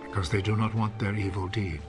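A man narrating a biblical film in a measured, solemn, scripted style. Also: lowish voice, steady tone, close to an everyday voice. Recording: film soundtrack with music behind. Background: music.

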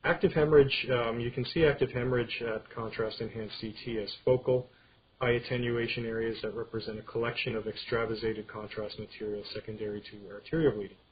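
A man giving an informal medical slide lecture, explaining things in a halting way. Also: mid-range voice, little pitch movement. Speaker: radiology lecturer. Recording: dull screen-capture audio with clear background noise.

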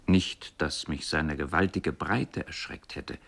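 An actor playing a reflective man recalling his youthful wandering, in measured, contemplative literary radio narration. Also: very deep voice, vocal fry, fairly bright tone, full character voice. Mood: reflective, expectant. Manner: measured, contemplative, carefully phrased.

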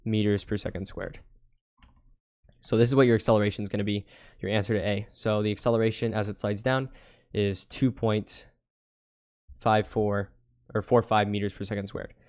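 A young man casually talking through a physics problem step by step on a digital whiteboard, often checking in with "right?" and correcting himself. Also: lowish voice. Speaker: tutor.

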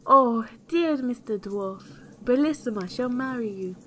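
A woman's voice: mid-range voice, unhurried, a little mellow, slight background noise, close to an everyday voice. A woman voicing a frightened, despairing queen in a fairy tale, lamenting and pleading. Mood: desperate pleading. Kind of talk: theatrical storybook voice.

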